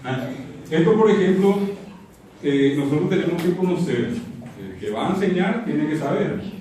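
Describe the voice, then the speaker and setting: A man with a slightly high voice teaches a language in a very echoey hall, far from the microphone. He is didactic, repeating example words and checking in with his listeners. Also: animated, normal speed, mellow. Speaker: lecturer teaching Guaraní. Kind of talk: interactive language lecture with spoken examples. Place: university auditorium. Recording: very echoey hall, distant mic, audience noise.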